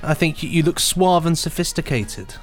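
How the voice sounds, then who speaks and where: A man's voice: a little high, expressive, normal speed. A man co-hosting a podcast in a casual, playful, mock-earnest manner. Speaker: podcast host. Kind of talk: casual comic chat.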